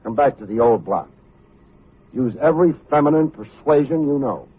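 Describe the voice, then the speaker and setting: An actor playing a tough, wry but good-hearted private detective in old-time radio style, with a hardboiled, wisecracking manner. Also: male voice, medium pitch, lively intonation, normal speed, breathy edge. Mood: urgent, assured. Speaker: lead actor and narrator. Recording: muffled old radio recording, music behind.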